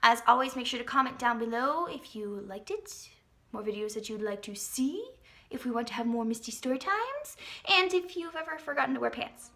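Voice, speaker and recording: A woman's voice: on the high side, animated, normal speed, bright, forceful tone. A young woman, telling a comedic personal story to an audience in a theatrical, emphatic way. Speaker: vlogger, storyteller. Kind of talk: dramatic comedic storytelling to camera.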